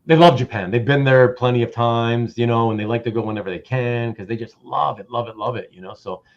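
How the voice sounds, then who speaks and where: A man hosting a casual livestream chat, speaking haltingly and often repeating words and phrases. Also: mid-range voice, fairly even intonation. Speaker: host of a live show. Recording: dull, muffled lo-fi audio.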